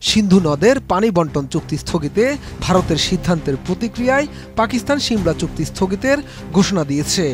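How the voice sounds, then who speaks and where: A high-pitched man with a Bangladeshi accent narrates a scripted news report. He speaks as a reporter, with clear background music playing under the voice. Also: expressive, fast talker.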